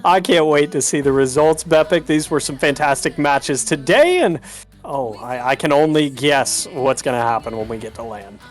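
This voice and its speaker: A man's voice: medium pitch, expressive, moderate pace, faint music behind. A man working as an esports caster, giving live commentary on a video game match. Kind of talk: live Rocket League commentary.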